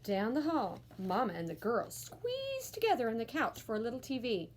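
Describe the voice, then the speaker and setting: A woman reading a children's storybook aloud with expressive, theatrical, emphatic narration. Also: on the high side, normal speed, a little hiss or hum. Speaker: school staff member reading aloud.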